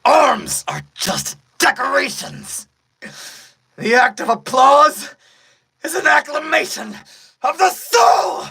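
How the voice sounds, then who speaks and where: A man voicing a battered, menacing anime fighter in a strained, breathy voice, with dramatic pauses and drawn-out words. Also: lowish voice, very animated, full character voice. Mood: defiant, triumphant. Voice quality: breathy, shaky whisper rising to strained shouts. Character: battered male anime fighter taunting a villainous curse. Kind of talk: anime fan dub acting.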